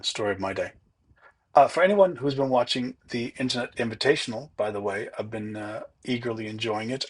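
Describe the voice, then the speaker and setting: A man narrating his own golf round in a relaxed, chatty, self-deprecating way. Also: lowish voice. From not from Ireland. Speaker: golf vlogger. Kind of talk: casual voice-over commentary.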